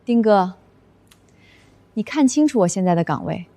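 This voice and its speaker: An actress playing a feisty, sarcastic young woman working as an office assistant in an animated drama. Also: mid-range voice, moderate pace, dry recording, close to an everyday voice. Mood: indignant. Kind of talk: dubbed animated workplace comedy drama.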